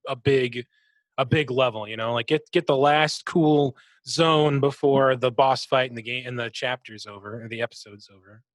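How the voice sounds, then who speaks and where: Medium-pitched man in casual gaming chat. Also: moderate pace, dry recording, low-quality recording. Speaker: podcast co-host. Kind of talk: casual video game chat.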